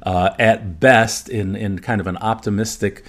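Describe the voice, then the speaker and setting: A man who is a native German speaker, talking through medical evidence as a podcast guest in a measured, explanatory and careful way. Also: lowish voice, fairly even intonation, noisy background. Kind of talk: informal evidence review in conversation.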